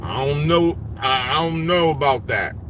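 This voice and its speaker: A man's voice: fairly high pitch, measured pace. A man in his forties talking casually in a rambling, repetitive way from inside a vehicle. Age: 40s. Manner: rambling, animated and repetitive, restarts often. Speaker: livestreamer and driver. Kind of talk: casual monologue.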